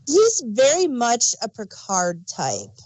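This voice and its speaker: A woman's voice: on the high side, huge pitch swings, takes it slowly, a little mellow, dry recording, poor audio. A woman chatting casually as a podcast host, explaining background details like an enthusiastic fan. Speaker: audio drama review podcast host. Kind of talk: casual review chat.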